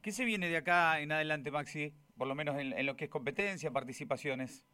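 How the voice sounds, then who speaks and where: A man's voice: on the high side, brisk, sharp tone, clean tone. An Argentine man co-hosting a casual radio interview in a studio, encouraging the guest with short affirmations. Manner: encouraging, with frequent brief affirmations.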